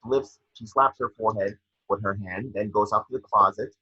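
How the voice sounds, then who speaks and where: A man's voice: fairly low pitch, moderate pace, sharp tone, husky. A man reading scripted scene descriptions as narrator, stumbling now and then. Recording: echoey, muffled home microphone.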